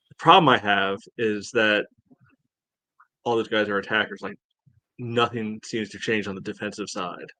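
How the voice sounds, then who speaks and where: A man co-hosting a sports podcast, giving casual analysis in a measured, matter-of-fact way. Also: lowish voice, fairly bright tone, no echo. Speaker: podcast co-host.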